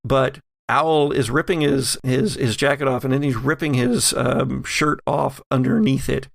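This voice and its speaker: Slow, creaky-voiced man narrating horror. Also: medium pitch, steady tone, dry recording, clean studio sound. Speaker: game master. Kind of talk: improvised horror narration for a tabletop game.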